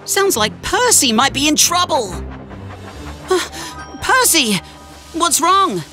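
Cartoon casting: cheerful young boy engine, high-pitched and lively, eager to help. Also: slow pace, soft background music, exaggerated voice. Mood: helpful. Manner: eager, chirpy, expressive. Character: cheerful, helpful young male tank engine. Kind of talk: children's animated cartoon acting.

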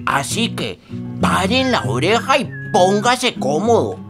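An actor playing a folksy, wry rural storyteller with a Costa Rican accent, narrating a radio drama in a colloquial, teasing manner. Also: male voice, high voice, very animated, slow, over music, heavily acted. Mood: musing. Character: folksy male rural storyteller, wry banana-country old hand.

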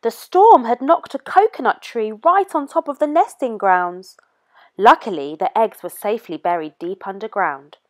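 A woman narrating a children's picture book in an expressive, clearly paced read-aloud manner for young listeners. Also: on the high side, normal speed. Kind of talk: expressive scripted storybook narration.

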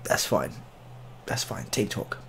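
A man's voice: takes it slowly, a bit bright, slight background noise. A man in his thirties giving casual, joking game commentary, muttering in mock exasperation with mild swearing and repeated phrases. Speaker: gaming video creator.

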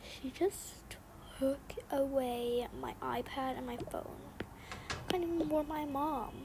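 A young female performer voicing a resentful, sneaky young girl in a game roleplay, often muttering in a breathy half-whisper with casual slang. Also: high-pitched, slow, heavily acted. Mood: scheming, sulky. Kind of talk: improvised game roleplay storytelling. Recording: noisy home recording with clicks.

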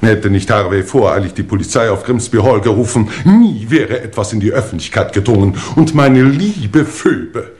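An actor playing a pompous, self-justifying English lord in a 1950s German radio crime play, speaking formally and theatrically. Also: male voice, fairly low pitch, animated, normal speed, few pauses, a little mellow, close to an everyday voice. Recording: old radio play with music behind.

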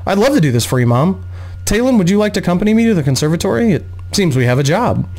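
A man's voice: high-pitched, sing-song, fast, a little mellow, faint music behind, pitched above the performer's own voice. A man voicing an eager, affectionate young woman bard in a fantasy audiobook story.

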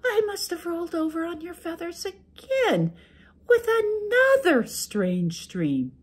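A woman voicing a sly young wife who speaks softly and sweetly in a high voice. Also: big pitch swings, slow, full character voice.

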